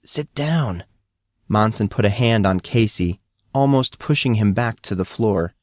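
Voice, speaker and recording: A man narrates fiction in a fairly low voice. The sound is dry, with little room around it, and the delivery is that of a scripted audiobook reading. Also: normal speed, dry recording.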